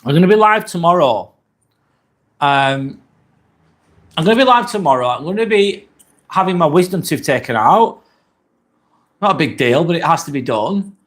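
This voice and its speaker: A man in his forties with a Lancashire accent, hosting a casual live stream, chatting in a relaxed, rambling way with plenty of ums. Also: on the high side, moderate pace, pauses often. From northwest England. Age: 40s. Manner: relaxed, rambling, reads chat comments aloud with many fillers. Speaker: livestream host.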